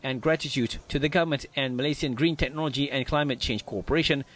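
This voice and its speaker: Guy in his 30s reading the news, steady formal delivery, studio sound. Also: medium pitch, fast talker. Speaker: news presenter. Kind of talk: scripted news narration.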